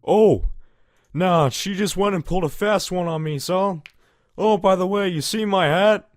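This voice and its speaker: A man's voice: sits high, measured pace, no echo, studio quality. A man playing a stubborn, easygoing farm pony with a folksy American Southern drawl in a fan audio drama. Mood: sheepish, eager.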